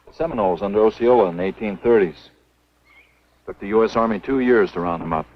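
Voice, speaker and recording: Casting: man as stubborn male warden hero, low voice, terse, muffled old film sound. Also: fast talker, a little hiss or hum, lightly acted. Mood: calm, watchful. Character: stubborn, blunt young male state game warden hero. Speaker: film actor. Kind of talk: 1950s B-movie screen acting.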